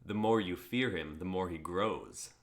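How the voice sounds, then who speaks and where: A man's voice: sits low, unhurried. A young man playing a brash, hot-headed card-summoning fighter, dramatic and cocky, in an amateur script reading. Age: young adult.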